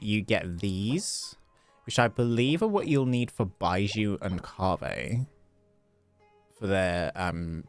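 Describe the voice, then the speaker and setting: A man's voice: on the low side, a bit bright. A man giving casual live commentary on a game as he plays, musing aloud as he works things out. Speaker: game livestreamer. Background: game music.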